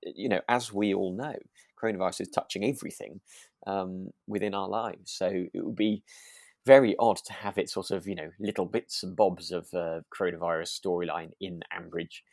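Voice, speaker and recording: A man's voice: low-pitched, steady tone, normal speed, gravelly, darkish tone, dry recording, studio quality. A man co-hosting a podcast, chatting casually in a tentative, hedging, conversational way. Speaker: podcast co-host. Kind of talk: relaxed chat discussing a radio drama.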